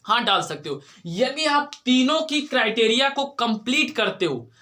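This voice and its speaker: A man's voice: very high voice, big pitch swings, moderate pace, pushed and bright. A man teaching in an informal explanatory way, repeating key points and answering his own questions. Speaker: exam coaching teacher.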